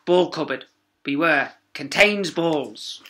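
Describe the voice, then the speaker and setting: A man voicing a furious, officious middle-aged maths teacher from a children's storybook, shouting in outrage. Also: on the high side, takes it slowly. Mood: stern outrage.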